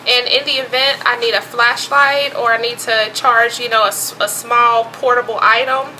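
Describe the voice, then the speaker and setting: A woman's voice: on the high side, fairly even intonation, normal speed, bright, forceful tone, slight background noise, low-quality recording. A woman vlogger talking casually and chattily as she shows off products, with informal asides. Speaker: vlogger presenting emergency gear. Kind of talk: casual product show-and-tell.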